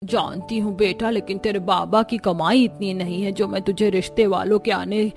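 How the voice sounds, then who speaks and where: A woman voicing a caring, outspoken woman of a cartoon family, plain-spoken and expressive. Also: mid-range voice, normal speed, faint music behind, natural voice. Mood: sorrowful.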